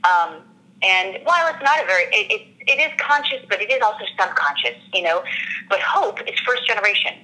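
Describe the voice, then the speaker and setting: An actress in an interview, talking through her character in an earnest, reflective way and often pausing to search for words. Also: mid-range voice, expressive, sharp tone, low-quality recording. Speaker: actress discussing her role. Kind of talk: interview answers.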